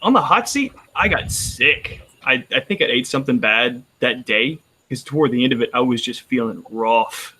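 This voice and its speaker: A man's voice: medium pitch, lively intonation, moderate pace, hardly any pauses, sharp tone, slightly rough. Texan man chatting casually. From Texas. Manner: rambling, frequent restarts. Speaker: roundtable panelist. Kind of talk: casual chat.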